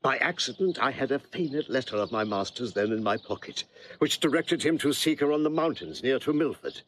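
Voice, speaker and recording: An actor playing a loyal, earnest manservant in a Shakespearean audio drama. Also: male voice, mid-range voice, animated, brisk, fairly bright tone, slight background noise, natural voice.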